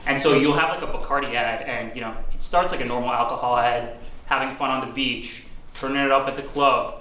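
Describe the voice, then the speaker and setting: A young man from Singapore delivers dark jokes in a flat, deadpan stand-up style. His voice sits at an ordinary pitch and comes from a distance. It echoes heavily around a student forum room, with audience noise clearly audible behind it. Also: a little fast. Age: young adult. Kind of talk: open mic stand-up set.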